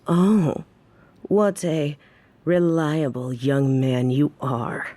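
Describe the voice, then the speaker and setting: A woman playing a cold, vengeful mafia boss in an audio drama, formal, deliberate and dryly sarcastic. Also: sits low, mellow, no room sound, exaggerated voice. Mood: veiled threat. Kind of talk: audio roleplay acting.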